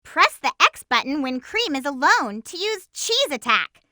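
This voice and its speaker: Cartoon hedgehog girl, game hero, very sharp bright tone, chirpy, eager, close dry sound, clear tutorial delivery. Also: high voice, very animated, a little slow, no echo, heavily acted. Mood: upbeat, instructive. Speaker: playable character giving gameplay hints. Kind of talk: video game tutorial voice acting.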